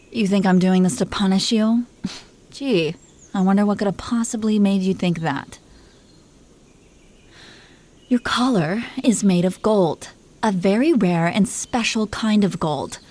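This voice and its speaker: A haughty dragon queen, acted by a woman in a mid-pitched voice, speaking intimately to the human she has made her husband. She is imperious and possessive, yet doting and playful. Her delivery is that of a scripted romantic audio roleplay, full of teasing and superior airs. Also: dark tone, close to an everyday voice. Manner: imperious, teasing, affectionately condescending. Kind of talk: scripted roleplay monologue spoken to the listener.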